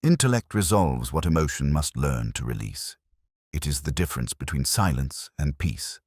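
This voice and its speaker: A man acting out a philosophical gentleman detective in an audiobook mystery. The voice is very low and husky, with expressive rises and falls. He reasons quietly and deliberately, in grave aphorisms. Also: no echo, clean studio sound, exaggerated voice. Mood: reflective. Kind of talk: audiobook character dialogue.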